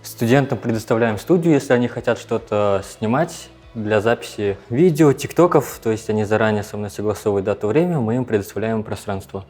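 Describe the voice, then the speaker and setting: A man with a fairly low voice gives a casual explanation as a staff member on a tour. A little music can be heard faintly behind him. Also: a little fast, few pauses, darkish tone. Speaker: staff member showing facilities. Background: faint background music.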